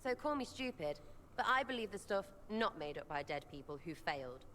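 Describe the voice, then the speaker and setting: Brash, bright-voiced young rogue archer. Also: female voice, on the low side, very animated, a little fast, in a large hall, slight background noise. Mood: scornful. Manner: blunt, slangy, argumentative. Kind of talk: video game character dialogue.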